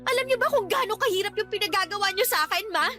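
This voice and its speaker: A woman's voice: very high voice, animated, fast talker. A Filipino woman playing an outspoken, fed-up young adult daughter in a radio drama, indignant and reproachful. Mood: angry, hurt. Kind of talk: Tagalog radio drama acting. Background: background music.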